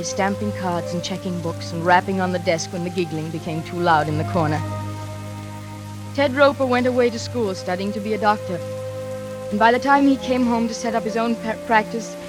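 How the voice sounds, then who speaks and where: Woman acting prim young librarian, medium pitch, 1940s radio drama narration, vintage recording, background music. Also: close to an everyday voice. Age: young adult. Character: prim, dutiful, lonely young librarian telling her story.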